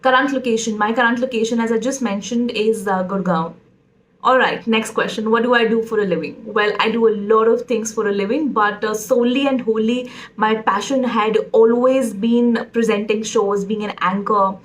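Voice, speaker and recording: A woman's voice: a little high, fairly even intonation, moderate pace, low-quality recording. A woman in her twenties with an Indian English accent, chatting casually in a vlog about herself and mixing in Hindi phrases. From Punjab, India. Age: 20s. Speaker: presenter introducing herself.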